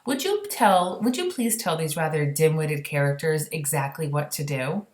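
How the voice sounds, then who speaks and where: A woman's voice: low voice, lively intonation, moderate pace. A woman puts on a regal, composed queen for a children's story. She is polite and commanding, in a roomy, echoey space. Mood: polite inquiry.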